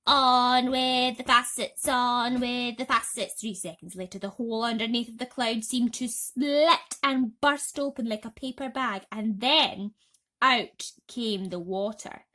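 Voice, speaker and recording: A woman storyteller reading a children's bedtime story dramatically and expressively, with emphatic pauses and swells. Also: on the high side, a bit bright.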